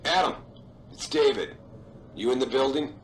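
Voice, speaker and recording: An actor playing a brisk, authoritative male company boss in a video game. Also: mid-range voice, echoey room, a little hiss or hum, lightly acted. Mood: urgent, businesslike. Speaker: boss giving orders.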